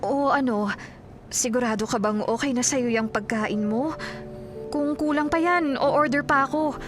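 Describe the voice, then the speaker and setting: A woman's voice: high-pitched, a little slow. An actress playing a devoted, caring career woman in a Filipino radio drama, speaking earnestly with a Filipino accent. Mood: friendly. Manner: earnest, solicitous. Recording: loud music behind the voice.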